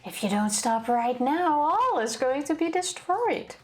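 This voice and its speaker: A woman's voice: high-pitched, big pitch swings, unhurried. A woman reading a game's dialogue aloud, voicing a small female rabbit mascot who vows to protect her students. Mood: earnest. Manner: reading game dialogue aloud in a raised earnest voice.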